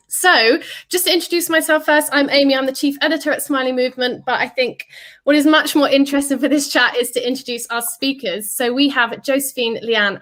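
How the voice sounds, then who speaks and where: A woman's voice: high-pitched, fairly bright tone. A woman hosting a livestreamed panel discussion, upbeat and chatty with frequent ums. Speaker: host and chief editor.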